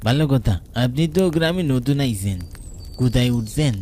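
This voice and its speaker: A man's voice: mid-range voice, expressive, fairly quick, warm tone, a little hiss or hum, close to an everyday voice. A man voicing a humble, ominous village tea-stall keeper in a horror cartoon, in a rural Bengali dialect. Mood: ominous warning. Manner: folksy, cautioning.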